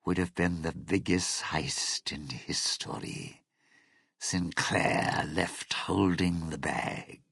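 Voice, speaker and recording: An actor playing a bitter, scheming lounge singer and thief in a video game, with a husky, gravelly voice and a sardonic, theatrical delivery. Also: male voice, sits low, fairly even intonation, slow, fairly bright tone, no room sound, clean studio sound, exaggerated voice. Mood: scheming, matter-of-fact. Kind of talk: video game character dialogue.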